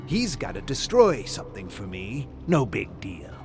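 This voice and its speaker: A man voicing a small, maniacal evil puppet in a horror story, with a mocking, drawn-out showman delivery. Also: fairly low pitch, huge pitch swings, creaky, music in the background, heavily acted. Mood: brash, mocking.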